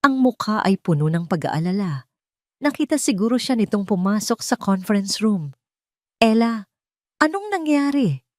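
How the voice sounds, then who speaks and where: A woman with a Filipino accent giving a dramatic scripted story narration in solemn, deliberate phrases. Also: mid-range voice, expressive, dry recording, studio quality.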